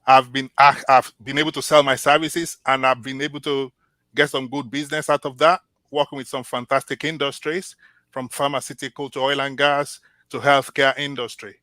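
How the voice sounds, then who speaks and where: A man from Nigeria whose first language is Yoruba, answering interview questions as a job candidate in an earnest, measured way with small restarts. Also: medium pitch, level tone, bright, forceful tone, no room sound.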